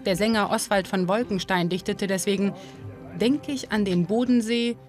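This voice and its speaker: A woman giving scripted documentary narration in a measured, even storytelling delivery. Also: mid-range voice. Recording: narration over loud music.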